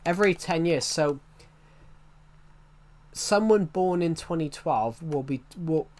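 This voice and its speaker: A man's voice: fairly high pitch, takes it slowly, darkish tone. A man in his twenties streaming, chatting casually and rambling, saying each phrase twice before moving on. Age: 20s. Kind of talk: rambling casual livestream chat.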